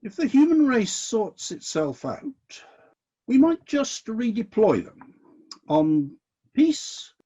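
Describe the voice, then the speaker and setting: A man acting in a radio play as God, a disillusioned but decisive ruler of heaven, with indignant questions and deliberate commands. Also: sits high, sing-song, dark tone, husky, highs cut off, exaggerated voice. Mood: firm, hopeful.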